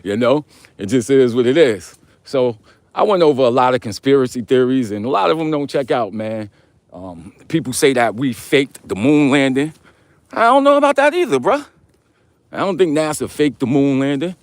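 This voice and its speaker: A man's voice: animated, moderate pace, no echo. Casual slangy man, close dry sound. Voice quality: deep voice. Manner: slangy, conversational, addresses listeners as brother and man. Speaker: host of a live vlog. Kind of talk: casual rambling talk, answering viewer comments.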